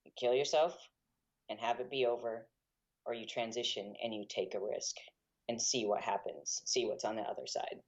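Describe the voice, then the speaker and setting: A man's voice: medium pitch, steady tone, moderate pace, highs cut off. A young man, a guitarist and guitar teacher, speaking frankly and openly as a guest in a casual interview, with a self-deprecating streak. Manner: frank and open, laughs easily, self-deprecating. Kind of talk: candid casual interview talk.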